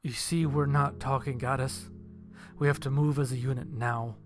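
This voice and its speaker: A man's voice: mid-range voice, steady tone, normal speed. A man narrating a scripted first-person story and acting out his own lines of dialogue. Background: background music.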